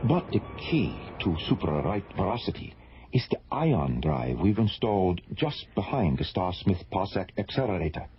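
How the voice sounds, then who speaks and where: Very deep-voiced courteous astrophysicist. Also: male voice, big pitch swings, slight background noise. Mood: matter-of-fact. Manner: polite, measured, explanatory. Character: genial, courteous astrophysicist and test pilot, a man. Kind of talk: radio drama acting. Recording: dull lo-fi radio recording.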